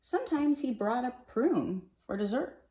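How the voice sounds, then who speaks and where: Animated woman reading a storybook, roomy echo. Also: fairly high pitch. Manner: animated, dramatic pauses and emphasis, addressing young listeners. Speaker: storybook reader. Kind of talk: expressive read-aloud of a children's picture book. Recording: roomy, echoey sound.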